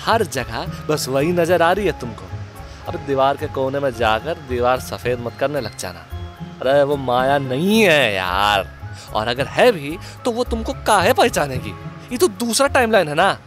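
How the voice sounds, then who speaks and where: A man's voice: medium pitch, sing-song, unhurried, fairly bright tone, soft background music. A man playing a crude, wisecracking sidekick in a Hindi horror-comedy audio drama, with a colloquial eastern Hindi belt accent and a teasing, foul-mouthed manner. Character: Prasad, crude wisecracking goofy sidekick to a ghost investigator.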